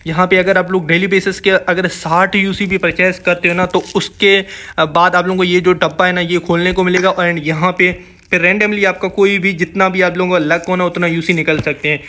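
Man, Pakistani accent, fairly high-pitched, gaming host, casual walkthrough narration, informal address. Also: level tone, brisk, bright, forceful tone, slight background noise. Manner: informal, addresses viewers as brothers. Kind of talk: casual game event walkthrough voice-over.

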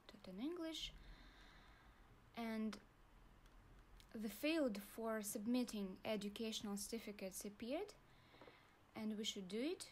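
A young woman with a Russian accent, calmly walking viewers through an online form in a tutorial. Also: a little high, a little hiss or hum. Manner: slow, deliberate, pauses while clicking. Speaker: university staff guide. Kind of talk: screen-recorded tutorial walkthrough.

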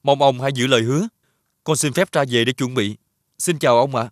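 A man playing an honest, humble young suitor in an animated fairy tale, speaking in an earnest way. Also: medium pitch, fairly quick, no echo, clean studio sound, natural voice. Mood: respectful, earnest. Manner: earnest, polite phrasing. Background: background music.

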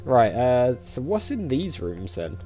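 Chatty male gamer with lively intonation. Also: medium pitch, slow pace, faint music behind. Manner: thinks aloud, chatty, reacts to the game. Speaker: gaming video host. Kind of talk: casual let's-play commentary.

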